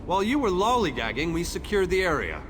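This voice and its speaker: Fussy formal game commander, high lively voice, battle-ready and brisk, noisy background. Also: male voice. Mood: businesslike. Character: male commander leading an army, fastidious, businesslike ally. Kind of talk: scripted video game dialogue.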